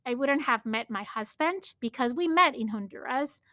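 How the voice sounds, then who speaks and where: A woman from Spain with a Spanish accent talks through her own podcast in an earnest, storytelling way, leaning on "like" as she goes. Her voice sits high and bright, and she takes her time. The sound is close and dry. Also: lively intonation, normal speed, no room sound. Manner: earnest, storytelling with frequent "like" fillers. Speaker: podcast host. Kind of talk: reflective solo podcast talk.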